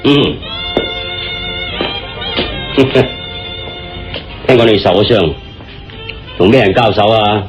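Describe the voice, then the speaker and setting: A man with a Hong Kong Cantonese accent playing a genial, commanding police chief in a radio drama, speaking in a hearty, deliberate, theatrical way. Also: lowish voice. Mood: jovial, hearty. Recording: muffled lo-fi radio drama with music.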